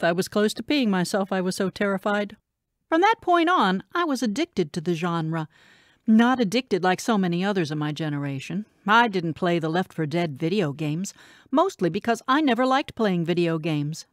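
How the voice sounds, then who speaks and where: A woman narrating an audiobook as a wry, candid schoolteacher in her twenties, in an expressive, conversational way. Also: fairly low pitch, dry recording, clean studio sound.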